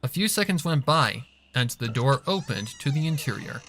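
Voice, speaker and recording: A man's voice: mid-range voice, lively intonation, normal speed, a bit bright, a little hiss or hum. A man reading a fan fiction story aloud as scripted narration. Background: music.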